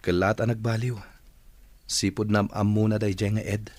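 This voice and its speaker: A man's voice: lowish voice, level tone, normal speed, a little mellow, no echo, lightly acted. A man with an Ilocano accent playing a rueful, disappointed friend in a radio drama. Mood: regretful. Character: regretful man discussing a friend who has drifted away. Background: faint music.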